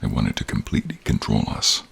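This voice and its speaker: A man voicing a protective, caring male dragon with a deep, gravelly, breathy voice, speaking slowly, solemnly and formally in a fantasy audio roleplay. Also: fairly bright tone, close to an everyday voice. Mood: grave, troubled. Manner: slow, solemn, formal, dramatic pauses. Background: rain and cave ambience.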